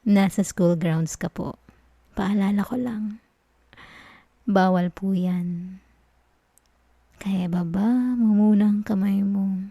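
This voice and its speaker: Female performer as a teasing, dominant professor; soft ASMR delivery, warm low-key tone, dry close sound. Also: fairly even intonation, takes it slowly, long pauses, husky, no room sound. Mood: amused, coy. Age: adult. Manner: teasing, soft-spoken, chuckles often. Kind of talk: ASMR roleplay.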